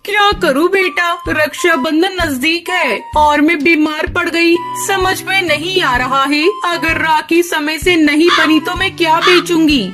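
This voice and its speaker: A motherly cartoon crow, played by a woman, fretting and affectionate, in a very high voice. Music plays softly behind her. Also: measured pace, hardly any pauses. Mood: worried, weary. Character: fretful, affectionate middle-aged mother crow. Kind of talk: children's cartoon voice acting.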